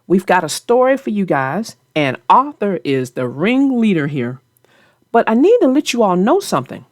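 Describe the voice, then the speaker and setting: A woman's voice: medium pitch, very animated, normal speed, a little mellow, no room sound, clean studio sound. A woman hosting and narrating a read-aloud for young children, speaking directly to her young listeners. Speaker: children's book narrator and channel host.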